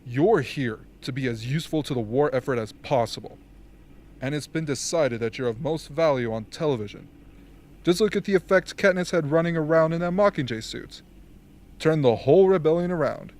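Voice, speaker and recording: A man playing an authoritative, measured military strategist in an amateur radio drama. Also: fairly high pitch, animated, darkish tone, close to an everyday voice. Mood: brisk, official. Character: commanding, dryly formal rebel military strategist briefing soldiers.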